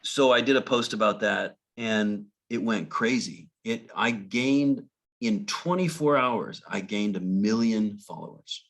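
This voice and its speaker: A middle-aged man speaking as a guest at a club meeting, telling anecdotes in a halting way with frequent restarts and chuckles. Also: on the low side, a bit bright. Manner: halting, full of restarts and laughs. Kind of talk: casual talk.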